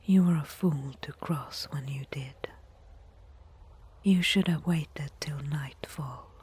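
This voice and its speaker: A woman acts out a disdainful desert tribeswoman, a reluctant nurse in an ASMR roleplay. Her whisper is extremely husky and breathy, low and very unhurried. She phrases things formally, with stern pride. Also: a little mellow, exaggerated voice. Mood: stern, admonishing. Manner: slow, measured, formal phrasing.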